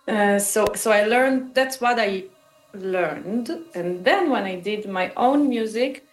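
A woman's voice: medium pitch, roomy sound, faint music behind, rough recording. A woman with an Israeli accent answering interview questions as a musician, reflective and searching for words as they go. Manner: halting, searching for words, pausing mid-phrase. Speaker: musician and composer interviewed about their work.

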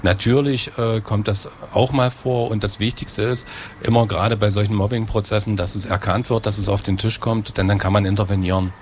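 A man's voice: on the low side, fairly even intonation, fairly quick, slight background noise. A man, a school head, answering interview questions in a conversational way, explaining at length.

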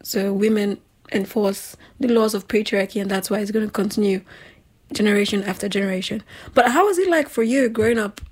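A woman with a slightly husky voice, hosting a casual chat in an informal, emphatic, slangy way. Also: mid-range voice, fairly quick. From Nigeria. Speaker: talk show host.

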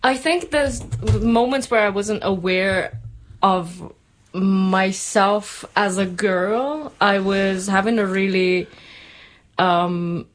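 A woman's voice: medium pitch, slow. A young woman from Iran co-hosting a casual chat, laughing easily and often saying "like.". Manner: giggly, casual, frequent "like". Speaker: podcast co-host.

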